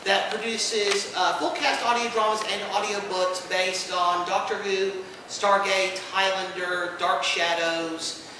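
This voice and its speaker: A man's voice: sits high, steady tone, slow pace. A man hosting an informal convention panel, explaining things with dry humor. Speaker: panel host and podcast co-host. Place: indoor convention panel room. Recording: echoey room, distant mic, background noise.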